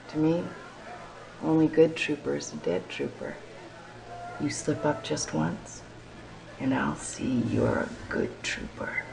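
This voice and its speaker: Tough resistance soldier, low gravelly voice, sardonic, hostile, menacing, game cutscene. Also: female voice, exaggerated voice, rough recording. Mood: low menace. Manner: sardonic, menacing drawn-out delivery. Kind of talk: video game cutscene acting.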